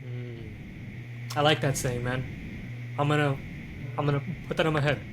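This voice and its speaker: A man's voice: mid-range voice, steady tone, measured pace, noisy background. Thoughtful male podcast host. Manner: thoughtful, many restarts and fillers. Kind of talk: casual interview questions.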